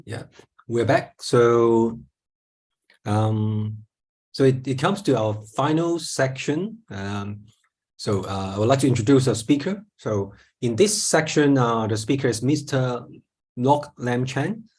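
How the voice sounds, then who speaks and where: A man hosting an online talk session, introducing the speaker in a casual, halting way. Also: mid-range voice, expressive, a little mellow, slightly rough. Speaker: event host introducing speaker. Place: online video call.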